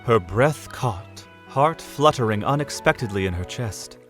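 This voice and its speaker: A man narrating an audio drama in an expressive storytelling manner. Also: medium pitch, unhurried, soft background music.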